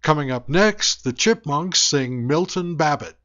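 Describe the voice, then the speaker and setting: A man's voice: on the high side, expressive, measured pace, fairly bright tone, lo-fi sound. A man making scripted announcements as a radio show's announcer. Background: music.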